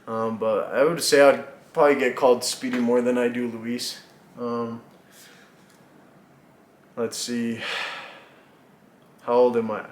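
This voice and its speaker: A man in his twenties from Mexico, chatting casually to camera about himself, relaxed and rambling with lots of fillers and little laughs. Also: medium pitch, slow, pauses often, slight background noise. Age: 20s. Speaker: vlogger. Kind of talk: casual get-to-know-me chat. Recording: echoey room.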